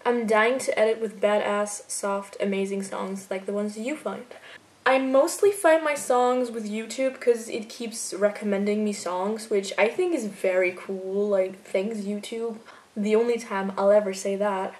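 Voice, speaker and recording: A woman's voice: mid-range voice, fairly even intonation, measured pace, darkish tone. Chatty woman, filler-heavy. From Austria. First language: German. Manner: chatty, filler-heavy with frequent "like", laughs easily. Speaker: fan video editor answering viewer questions. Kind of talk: casual Q&A vlog.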